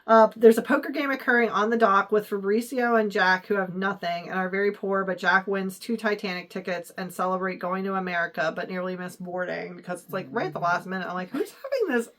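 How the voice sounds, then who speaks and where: A woman's voice: medium pitch, brisk, few pauses, fairly bright tone. A woman co-hosting a casual movie review podcast, recapping the plot in a chatty, giggly way with many fillers. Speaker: podcast co-host.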